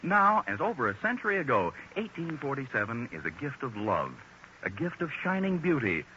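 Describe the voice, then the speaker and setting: Very bright, punchy American radio announcer, male. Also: mid-range voice, big pitch swings, normal speed. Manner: polished salesman's delivery. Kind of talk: 1940s radio commercial announcing. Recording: muffled 1940s radio recording, faint noise.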